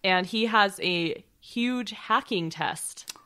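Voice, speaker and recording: A woman talks casually as a podcast panelist. Her voice sits at a middle pitch for a woman, and her phrasing is informal and slangy. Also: takes it slowly, bright, forceful tone, smooth. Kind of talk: casual chat.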